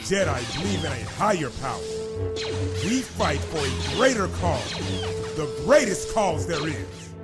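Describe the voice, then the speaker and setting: A man's voice: a little high, big pitch swings, measured pace. A man playing a stern, righteous Jedi master in a comic dub, speaking in a grave, resolute, formal manner. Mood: defiant. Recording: faint music behind.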